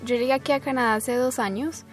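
A young woman from Colombia, a ballet dancer being interviewed on the radio, who talks haltingly and often repeats her words. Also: high voice, fairly even intonation, faint music behind. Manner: hesitant, repeats words while explaining.

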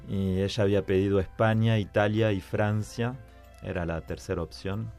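A man with a very low voice and an Argentine Spanish accent, recalling his past in an easy, conversational way. He stops and repeats words as he searches for them. Faint music plays behind him in a radio studio. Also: fairly even intonation, moderate pace, vocal fry, a little mellow. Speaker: musician guest. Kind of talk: radio interview, personal storytelling.

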